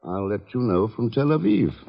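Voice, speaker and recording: An actor playing a shrewd, terse investigator with a dry, probing manner in an old radio drama. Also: male voice, on the low side, sing-song, moderate pace, vocal fry. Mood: cool, firm. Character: cool, terse, shrewd American male investigator. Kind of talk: 1950s radio drama acting. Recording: muffled, noisy old radio recording.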